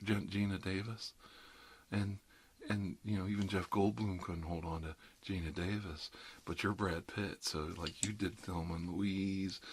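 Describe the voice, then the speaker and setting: Low voiced guy doing asmr dentist roleplay, wry casual rambling, bit of background noise. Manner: wry, conversational, reassuring patter to a patient. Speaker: ASMR performer playing a dentist.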